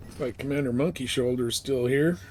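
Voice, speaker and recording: A man's voice: medium pitch, a little fast, a little mellow, a little hiss or hum. A man giving casual live commentary as he plays a video game, muttering offhand to himself.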